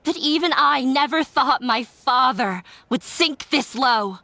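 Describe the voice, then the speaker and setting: A young woman voicing a fiery daughter defying her father in a game, sharp and defiant. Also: sits high, animated, slow pace, exaggerated voice.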